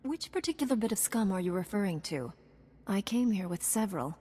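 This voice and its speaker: An actress playing a composed, earnest young Jedi knight in a video game, measured and polite. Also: female voice, mid-range voice, expressive, warm tone, husky. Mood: feigned deference. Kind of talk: video game cutscene acting.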